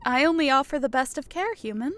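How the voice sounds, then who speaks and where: A woman's voice: sits high, expressive, fast, fairly bright tone, dry recording. A woman voicing a regal death goddess in an audiobook, lofty, sweet and composed. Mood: gracious. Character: regal female death god, lofty, serenely amused. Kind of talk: dramatised audiobook character dialogue.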